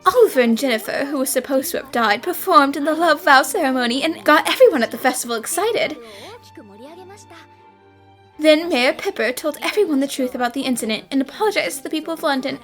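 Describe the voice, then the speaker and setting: A woman playing earnest, expressive young female characters in an anime-style detective fan dub. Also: high voice, a little fast, soft background music. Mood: narrating.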